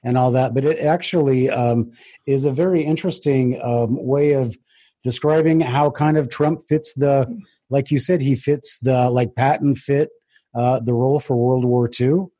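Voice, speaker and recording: A man casually asking questions as an interview host, hesitant and pausing often with ums. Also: medium pitch, steady tone, warm tone.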